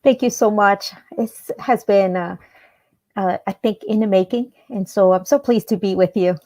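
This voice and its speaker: A woman's voice: medium pitch, moderate pace, darkish tone, dry recording. Native Spanish-speaking woman, dry close sound. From El Salvador, then Maryland, United States from age ten. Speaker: interview guest. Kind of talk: personal storytelling in an interview.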